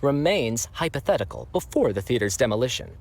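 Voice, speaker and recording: A man's voice: mid-range voice, very animated, a little fast, darkish tone, slightly rough. A man voicing an impartial male judge who speaks formally and analytically in a video game. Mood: neutral.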